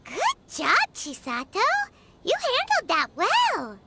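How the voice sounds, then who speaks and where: A woman voicing a tiny excitable floating companion creature in a video game, blunt and indignant. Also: very high-pitched, takes it slowly, heavy background noise, full character voice. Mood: chirpy. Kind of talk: game character dialogue. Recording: voice under loud game music.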